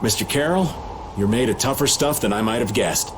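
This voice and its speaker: A man's voice: mid-range voice, fairly quick, background noise, close to an everyday voice. An actor playing an easygoing, whiny middle-aged adventurer who plays the old man, with a folksy manner, in a video game. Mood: playful grumbling. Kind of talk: English video game dub acting.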